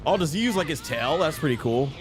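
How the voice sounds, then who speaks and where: A man's voice: fairly high pitch, animated, fast. A man streaming a video game, giving casual, rambling, enthusiastic fan commentary as he plays. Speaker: gaming streamer. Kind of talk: casual live gameplay commentary. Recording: game music behind the voice. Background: video game music.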